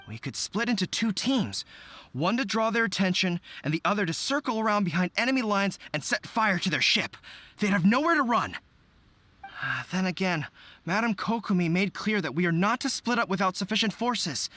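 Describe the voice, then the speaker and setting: A man's voice: high-pitched, sharp tone. An actor playing an earnest, by-the-book young general in a video game who plans carefully and talks through his tactics.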